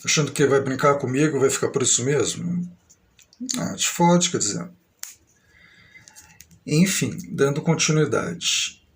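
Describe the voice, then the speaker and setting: A man's voice: mid-range voice, a little slow, pauses often. A middle-aged man from Brazil with a São Paulo accent, talking in a grandiose, aggrieved monologue that meanders at an uneven pace. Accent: São Paulo Brazilian Portuguese. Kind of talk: rambling monologue to camera.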